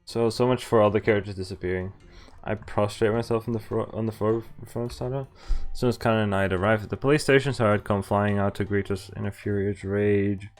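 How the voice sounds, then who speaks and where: A man's voice: fairly low pitch, steady tone, quick pace. A man streaming a visual novel, reading the text aloud and adding casual commentary. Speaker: game streamer. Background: faint game music.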